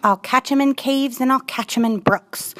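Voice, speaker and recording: A woman's voice: sits high, normal speed, fairly bright tone. A woman on staff reading a rhyming storybook aloud.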